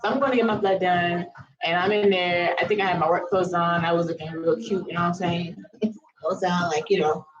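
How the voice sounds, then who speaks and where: A woman's voice: lowish voice, fairly even intonation, fairly quick. A woman tells a personal story as if to friends. She is chatty and slangy, often breaking off for asides. The sound is slightly dull and lo-fi. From US Virgin Islands. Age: around 30. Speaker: storytelling vlogger.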